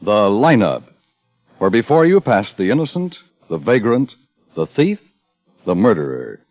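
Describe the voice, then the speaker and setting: A man's voice: on the low side, sing-song, unhurried, a little mellow. A man announcing formally and dramatically in scripted 1950s radio style. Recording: muffled 1950 radio broadcast, music. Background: music.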